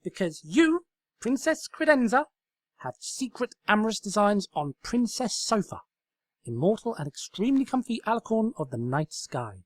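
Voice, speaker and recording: A man's voice: big pitch swings, normal speed, dry recording. A man voicing a manic, bossy young female unicorn in a comedic story reading, ranting and melodramatic. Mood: snappy, accusing. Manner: shrill, ranting, insistent.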